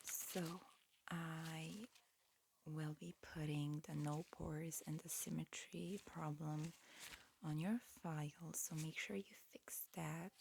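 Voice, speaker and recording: Slow, whispering ASMR woman. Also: fairly low pitch, gravelly, slight background noise. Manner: soft, hushed whispering with slow, careful explanations. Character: calm, attentive alien examiner disguised as a human. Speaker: ASMR roleplay performer playing an alien examiner. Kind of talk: whispered ASMR medical exam roleplay. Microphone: close ASMR microphone.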